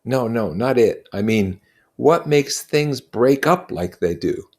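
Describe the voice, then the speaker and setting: A worried boy leader from a storybook acted by a man. The pitch sits well above a man's talk, and the delivery is earnest, urgent and pleading. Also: animated, slow, darkish tone. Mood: puzzled.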